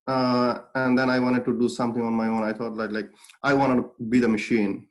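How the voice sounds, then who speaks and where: A young man from Bangladesh who grew up speaking Bengali talks through his life story as an interview guest. His voice sits at an ordinary middle pitch, and his delivery is earnest and reflective, full of fillers and restarts. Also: level tone, brisk, poor audio. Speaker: guest, clothing brand founder.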